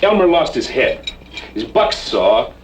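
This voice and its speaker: A cocky, lively cowboy rustler. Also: male voice, medium pitch, takes it slowly. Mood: wry, teasing. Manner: folksy, sardonic, evasive. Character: cocky, joking outlaw cattle rustler, cowboy drawl. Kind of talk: old-time radio western acting. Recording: noisy old radio drama recording.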